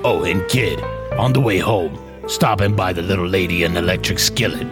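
A man's voice: deep voice, moderate pace, over loud music, natural voice. A man playing a wry, tough police detective in a noir radio drama, with slangy, clipped talk. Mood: wry, confident.

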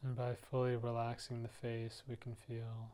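A man leading a guided meditation, speaking gently and softly in unhurried, spaced-out phrases. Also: medium pitch, completely flat delivery. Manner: soft, gentle, unhurried instructing with pauses. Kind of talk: guided body-scan meditation.

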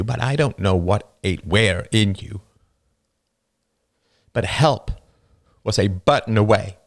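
A man's voice: on the low side, vocal fry, voice pushed up. A man voicing a stoic older teenage boy whose voice cracks as he pushes his words out, tense and raised.

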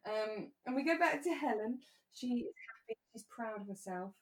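A woman co-hosting a podcast, chatting casually about a radio drama in a giggly, halting, enthusiastic way. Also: on the high side, expressive, poor audio. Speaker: podcast co-host.

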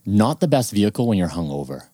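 Laid-back man with a lively voice. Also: on the low side, quick pace, dry recording, studio quality. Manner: laid-back, laughs easily. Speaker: podcast co-host. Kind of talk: casual chat.

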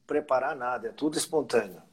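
A man's voice: medium pitch, a little fast, crowd noise. A man, a winery owner chatting casually in an interview, rambling and often restarting his phrases. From southern Brazil. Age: 50s.

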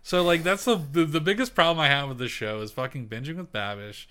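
A man co-hosting a casual home podcast, animated and swearing often. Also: on the high side, fairly quick, clean tone. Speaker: podcast co-host. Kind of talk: casual chat.

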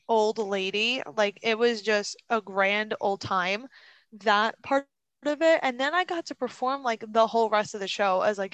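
Giggly young woman, smooth dry voice. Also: mid-range voice, fairly bright tone, dry recording. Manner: giggly, teasing, rambling with tangents. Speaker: podcast co-host. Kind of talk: casual chat.

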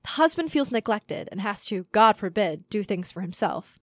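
A woman's voice: medium pitch, lively intonation, normal speed, dry recording. A young woman reading a scripted essay aloud as narration for a student audio zine. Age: young adult.

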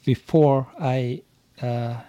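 A man with a Japanese accent, a native Japanese speaker, giving careful, halting interview answers in English as a podcast guest and learner. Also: mid-range voice, fairly even intonation, dark tone, clear, resonant tone, no room sound, lo-fi sound. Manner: hesitant, searching for words, halting phrases.

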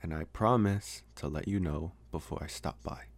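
A young man playing a socially awkward college vampire, possessive yet earnest, speaking haltingly in an intimate ASMR roleplay. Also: deep voice. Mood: hesitant, bargaining. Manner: halting, hesitant, trying to sound intimidating.

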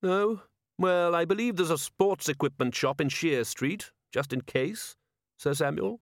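A man voicing an unruffled aristocratic ruler, polite and measured, with dry mischief and feigned innocence, in an audiobook. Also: a little high, no echo, pitched above the performer's own voice. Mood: innocently needling.

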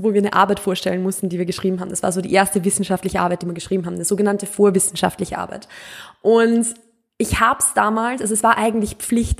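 A woman's voice: medium pitch, a little mellow. A chatty young Austrian woman hosts her own podcast, talking freely on her own. Her Upper Austrian accent colors her standard German, and she laughs often and slips English words into her sentences. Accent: Upper Austrian (Mühlviertel), speaking standard German. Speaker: podcast host. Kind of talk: casual solo podcast chat.

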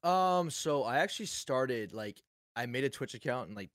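A man's voice: medium pitch, animated, normal speed, a bit bright, no echo, studio quality. A man who streams, chatting easily as a guest on a podcast. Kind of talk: casual interview chat.

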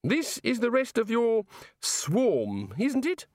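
A man's voice: very high voice, wildly swooping pitch, slow, darkish tone, dry recording, clean studio sound, pitched above the performer's own voice, heavily acted. A man reading a story aloud and voicing a curious, oblivious male creator god who asks question after question. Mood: eager curious. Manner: chatty, eager, inquisitive.

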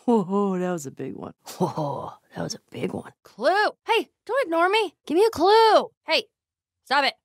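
Video game role: wisecracking, foul-mouthed young female survivor, naturalistic delivery, very lively pitch, dry studio sound. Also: unhurried, dry recording, heavily acted. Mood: playful. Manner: blunt, sweary, wry.

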